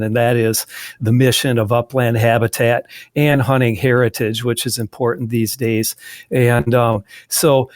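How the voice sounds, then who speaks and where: Middle-aged man, casual guest, mid-pitched, restarts a lot, dry close mic. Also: no echo. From southwestern Wisconsin. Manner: rambling, frequent restarts and fillers. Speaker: guest, magazine editor. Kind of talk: casual interview conversation.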